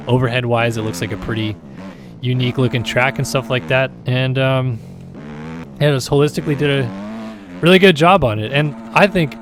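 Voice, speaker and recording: A raspy, tired man hosts a gaming channel and rambles easily through his gameplay commentary, full of fillers and restarts. His voice sits at an ordinary middle pitch. Steady engine noise runs clearly behind him. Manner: rambling, filler-heavy, easygoing.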